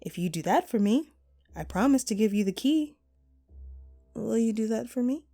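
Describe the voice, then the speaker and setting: A woman's voice: on the high side, animated, moderate pace, warm tone, soft background music. A woman playing a vengeful, sadistic jilted actress in a horror audio roleplay, mocking and theatrical, with menacing playfulness. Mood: menacing, toying.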